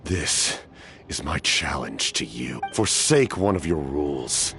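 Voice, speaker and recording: An actor playing a solemn, resolute, vengeful immortal knight captain in a video game, speaking in a grave, dramatic way. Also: male voice, extremely low pitch, big pitch swings, slow, creaky, fairly bright tone, faint music behind, exaggerated voice. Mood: defiant.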